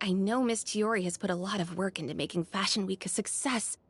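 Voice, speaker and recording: A woman playing a polite, loyal, dutiful shop assistant in an animated game. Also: medium pitch, brisk, background noise, lightly acted. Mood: worried, respectful.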